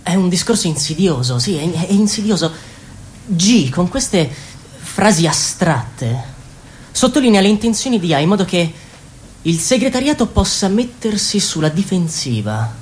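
A wary party insider in a radio play. Her fairly low voice moves in very lively, halting phrases as she analyses aloud. Faint noise sits behind her. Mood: wary, anxious. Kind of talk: Italian radio theatre, confiding narration.

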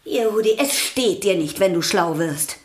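A woman's voice: medium pitch, expressive, normal speed, natural voice. A woman voicing an exasperated, stern sister in a radio drama, scolding and pleading.

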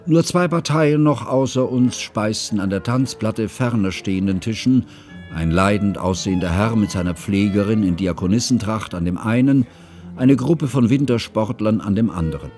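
Man acting as literary narrator, low voice, formal, deliberate, observant, radio play, music underneath. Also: darkish tone, lightly acted. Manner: formal, ornate, deliberate phrasing. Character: educated male first-person narrator, measured, observant friend.

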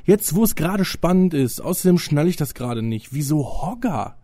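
Guy playing whiny cocky sidekick in comedy audio drama, mellow, swingy pitch, dry sound. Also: very animated, normal speed, no room sound. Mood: exasperated. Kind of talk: comic audio drama dialogue.

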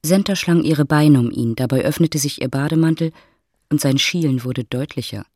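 An actor as the detached narrator of a love story, reading scripted literary narration in a radio drama. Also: male voice, on the high side, moderate pace, darkish tone, no echo, lightly acted.

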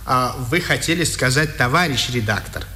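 An actor playing a brisk, courteous newspaper editor in a 1950s radio drama. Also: male voice, mid-range voice, lively intonation, bright, forceful tone. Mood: businesslike, kindly.

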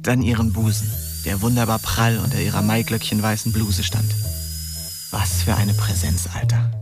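A man's voice: lowish voice, steady tone, moderate pace, gravelly, loud music behind the voice. An actor playing a wry, streetwise young man narrating his own story in a radio drama. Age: young adult.

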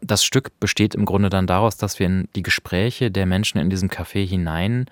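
A man's voice: low voice, steady tone, a little fast, dry recording. A man talks as co-host of a radio discussion. He explains reflectively, feeling for the right words as he goes. The sound is close and dry, with no room around him. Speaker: podcast co-host. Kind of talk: conversational radio discussion of audio drama. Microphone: close studio microphone.

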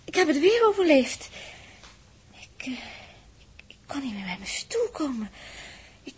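An actress playing a shaken, emotional young woman in a 1960s radio play, with halting, breathy, dramatic delivery. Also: high-pitched, big pitch swings. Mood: agitated, dazed. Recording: noisy old 1960s radio recording.

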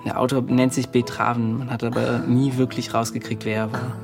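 A man's voice: mid-range voice, little pitch movement, vocal fry, natural voice. Actor as hesitant bookish young man, guitar behind. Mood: absorbed. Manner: hesitant, halting. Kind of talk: naturalistic radio drama acting. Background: guitar music.

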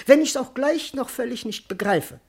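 An actor playing a cowardly, bewildered servant in a classical verse radio comedy, wordy, plaintive and comically indignant. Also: male voice, extremely high pitch, expressive, normal speed, a little mellow, breathy edge, exaggerated voice. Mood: plaintive, weary. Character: bewildered, cowardly male servant doubting his own identity.

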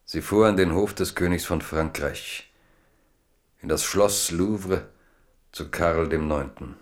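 Very deep, slow male narrator. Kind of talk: scripted literary radio drama narration.

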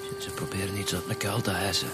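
An actor playing a weary, grumbling Flemish police detective with a whiny, colloquial manner in a radio drama. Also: male voice, deep voice, fairly even intonation, fast, over loud music, close to an everyday voice. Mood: tense watching.

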